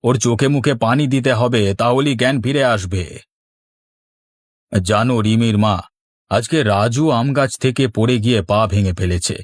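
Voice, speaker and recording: A man's voice: medium pitch, moderate pace, dry recording, studio quality, lightly acted. A man playing a kindly, sensible middle-aged village father in a children's cartoon, earnest and reassuring. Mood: concerned.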